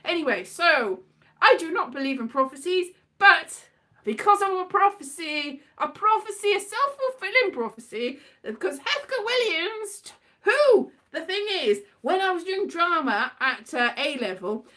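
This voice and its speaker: A woman's voice: very high voice, very animated, measured pace, bright, forceful tone. A British woman chatting casually in a whimsical, digressive monologue, often turning playfully dramatic. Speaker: performer and vlogger.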